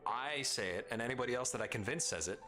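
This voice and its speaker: A man's voice: medium pitch, fast talker, music in the background. A man with an Arizona accent hosting a live stream, chatting casually with viewers in a playful, teasing, rambling way.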